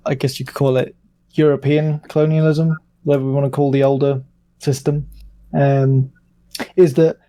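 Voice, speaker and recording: Male panelist, mellow dark timbre, little pitch movement, measured and conversational. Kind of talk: casual political discussion.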